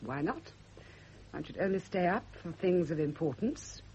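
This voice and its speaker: A woman's voice: on the low side, lively intonation, moderate pace, darkish tone, close to an everyday voice. An actress playing a bitter, defiant woman on edge in a British radio drama, clipped and cutting in manner. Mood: cool, curt. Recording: dull, noisy old radio drama recording.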